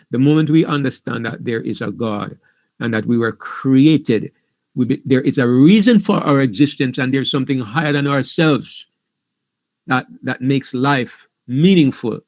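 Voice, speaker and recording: A man's voice: medium pitch, no room sound. Earnest man on dull, dry audio. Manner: earnest, with stumbles. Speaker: seminar speaker. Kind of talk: earnest seminar talk.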